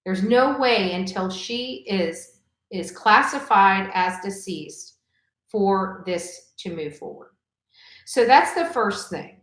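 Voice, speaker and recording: A woman's voice: mid-range voice, animated, slow pace. A woman hosting a casual livestream commentary, speaking emphatically and repeating phrases for emphasis. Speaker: livestream host. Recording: echoey room.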